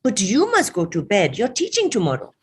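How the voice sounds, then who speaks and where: A woman plays a worried grown daughter in a recited poem. The voice lifts well upward, husky and urgent, wheedling like someone caring for a stubborn parent. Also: medium pitch, wildly swooping pitch, brisk, pitched above the performer's own voice, heavily acted. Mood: anxious coaxing. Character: worried adult daughter coaxing her elderly mother. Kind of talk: dramatised poetry recitation.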